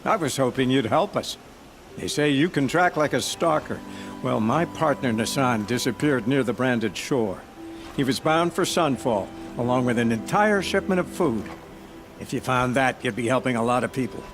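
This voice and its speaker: An actor playing an embittered, righteous outlaw in a video game, blunt in manner. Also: male voice, medium pitch, lively intonation, moderate pace, music in the background, lightly acted. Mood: earnest. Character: bitter, righteous male outlaw who robs the rich.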